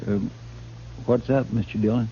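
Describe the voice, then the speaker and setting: An actor playing a loyal, simple marshal's deputy in a radio western, with a folksy, deferential drawl. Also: male voice, mid-range voice, fairly even intonation, moderate pace, dark tone, natural voice. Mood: dutiful, earnest. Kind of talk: old-time radio western acting. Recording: dull, noisy vintage radio recording.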